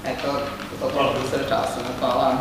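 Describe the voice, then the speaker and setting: Halting man introducing speaker, echoey hall. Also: medium pitch, completely flat delivery. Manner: halting, many fillers and restarts. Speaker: seminar organizer introducing the speaker. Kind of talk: formal introduction. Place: large lecture hall. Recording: echoey hall from far off with noise.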